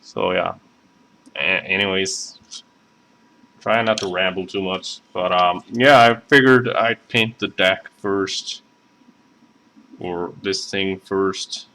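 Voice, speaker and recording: Slow drawling southern guy rambling at his hobby desk, kinda low voice. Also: steady tone, pushed and bright, a little hiss or hum. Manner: rambling, self-deprecating, many fillers. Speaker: scale model builder streaming. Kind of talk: casual live stream chat.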